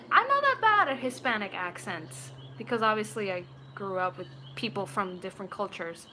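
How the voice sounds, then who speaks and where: Woman, twenty, from Puerto Rico, bright voice, playful rambling chat, faint noise on home webcam audio. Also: fairly high pitch, poor audio. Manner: playful, rambling, slips into put-on accents and voices. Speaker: video creator chatting with viewers. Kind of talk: casual live chat. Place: small room at home, indoors.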